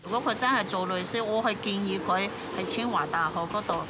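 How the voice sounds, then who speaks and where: A woman's voice: mid-range voice, fairly quick, noisy background. A woman, a parent, chatting candidly in an interview. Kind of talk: casual interview.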